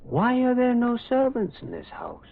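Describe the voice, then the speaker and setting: A man's voice: very high-pitched, wildly swooping pitch, moderate pace, gravelly, full character voice. An actor playing a thoughtful, probing priest detective in a radio drama, hesitant and given to murmuring and repeating words as he muses. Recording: muffled, phone-like old radio recording.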